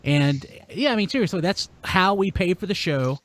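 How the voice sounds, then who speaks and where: A man's voice: high-pitched, animated, normal speed, a bit bright. A man hosting a podcast, chatting casually in a jokey, wry manner. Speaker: podcast host.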